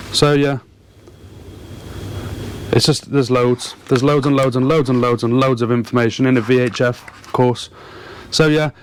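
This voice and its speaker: A man's voice: medium pitch, a little hiss or hum. A man talking casually to viewers as a boating vlogger, earnest and emphatic, often repeating words to stress a point.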